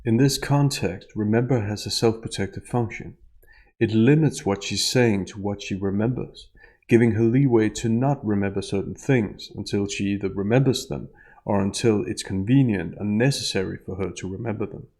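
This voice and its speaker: Creaky low-voiced man giving analytical commentary. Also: darkish tone. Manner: measured, explanatory, precise. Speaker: analyst and narrator of a statement analysis video.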